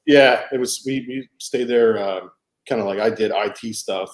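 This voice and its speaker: A man hosting a casual video call chat, telling stories in an easygoing way. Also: on the low side. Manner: quick, easygoing storytelling. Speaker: host.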